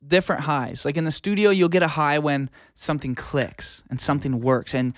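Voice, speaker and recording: A man's voice: fairly high pitch, steady tone, normal speed. A man talking casually as an interview guest, earnest and colloquial, like an audio engineer sharing experience.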